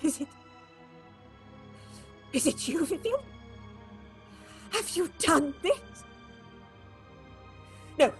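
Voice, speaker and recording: An actress playing a stern, anxious, domineering theatre director who talks in a melodramatic way in an audio horror drama. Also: female voice, high voice, expressive, drawn-out words, long pauses, faint music behind, full character voice. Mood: frightened, haunted. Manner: imperious, melodramatic, talks aloud to herself.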